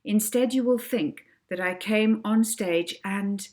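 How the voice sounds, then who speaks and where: A woman's voice: mid-range voice, takes it slowly, darkish tone, lightly acted. A woman voicing a confident young girl stage hypnotist in a storybook, theatrical and commanding.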